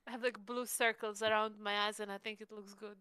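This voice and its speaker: Woman with a smooth, dry-recorded voice. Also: mid-range voice, little pitch movement, a little fast, pushed and bright, no echo. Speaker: player in a tabletop roleplaying stream. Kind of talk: casual in-character game chat.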